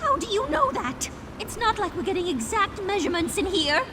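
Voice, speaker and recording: Panicky, piercingly high frightened pony. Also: female voice, sing-song, a little fast, background noise. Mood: panicked, distressed. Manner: panicky, pleading. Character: frightened, timid female pony in peril. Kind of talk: dramatic fan fiction reading.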